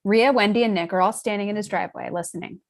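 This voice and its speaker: A woman's voice: medium pitch, fast talker. Woman reading screenplay directions, mid-range voice. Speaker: stage-direction narrator. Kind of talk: scripted screenplay narration.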